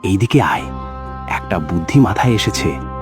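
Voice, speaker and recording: Man playing jealous cartoon village schemer, mid-pitched, very animated intonation, sneering, music clearly behind. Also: moderate pace. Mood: jealous, scheming. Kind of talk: Bengali cartoon dialogue.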